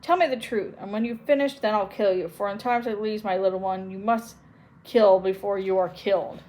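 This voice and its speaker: A woman's voice: mid-range voice, fairly even intonation, normal speed, a little mellow, lightly acted, rough recording. A woman voicing a bitter, cornered witch in a storybook reading, speaking in an intense, scornful way. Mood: mocking.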